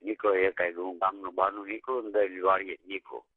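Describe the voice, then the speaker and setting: Low, husky man on a phone. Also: level tone, fast, dry recording. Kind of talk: casual private phone chat. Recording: muffled tinny phone call recording.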